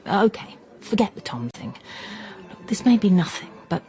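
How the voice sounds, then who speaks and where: A woman acting as a shrewd amateur sleuth with a softly mellow, widely swinging voice. She is scornful and insistent, pushing her case with sardonic bite in a radio mystery. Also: medium pitch, very animated, normal speed, close to an everyday voice. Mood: sardonic, pressing. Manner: scornful, pressing, hushed at times.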